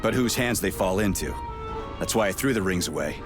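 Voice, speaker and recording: A man's voice: low-pitched, lively intonation, a little fast. An actor playing a blunt, weary, sardonic male soldier in a video game, speaking in terse remarks. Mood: firm, blunt. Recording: loud game music under voice.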